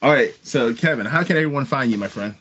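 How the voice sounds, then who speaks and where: A man's voice: mid-range voice, expressive, quick pace, fairly bright tone, slightly rough, muffled recording. An American man hosting a casual interview, speaking with enthusiasm and repeating phrases for emphasis. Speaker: podcast host interviewer.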